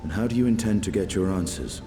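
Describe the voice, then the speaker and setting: A man's voice: low voice, animated, fast, warm tone, soft background music. A man playing a questioning companion character in a fantasy video game.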